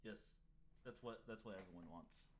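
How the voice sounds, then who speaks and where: A man's voice: lowish voice, normal speed, husky. A man co-hosting a casual talk stream, laid-back and teasing. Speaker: co-host running the stream. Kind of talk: casual chat.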